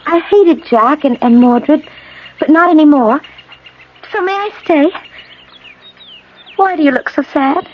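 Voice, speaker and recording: A muffled old radio broadcast with faint noise carries a fearful young captive. Her voice is high, earnest and halting, full of pleading emotion. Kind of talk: old-time radio drama acting.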